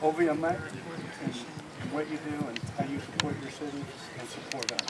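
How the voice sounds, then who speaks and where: A man presenting certificates of appreciation at an outdoor ceremony, speaking haltingly. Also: on the high side, distant mic. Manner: halting, hesitant reading. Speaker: veterans group representative presenting certificates. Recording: loud background noise.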